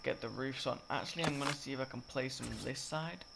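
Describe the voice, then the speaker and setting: A croaky, slightly sick young man rambling through a game-building tutorial, often correcting himself. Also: mid-range voice, quick pace. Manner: rambling, self-correcting, filler-heavy. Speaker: gaming tutorial creator. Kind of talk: casual gameplay tutorial commentary. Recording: loud game noise behind. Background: game sound effects and clicks.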